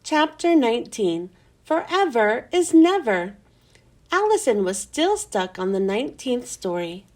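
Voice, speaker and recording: A woman's voice: fairly high pitch, sing-song, measured pace, darkish tone. A woman hosting a children's read-aloud, narrating a storybook expressively.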